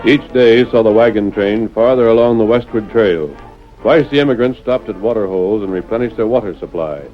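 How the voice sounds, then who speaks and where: A man's voice: on the low side, fairly quick. A man narrating a western drama in scripted old-time radio style. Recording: muffled vintage radio, music underneath.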